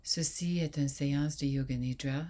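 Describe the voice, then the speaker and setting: A woman guiding a sleep meditation in a soft, soothing voice that often drops to a breathy near whisper, with long pauses. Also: a bass voice, steady tone. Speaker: yoga nidra guide.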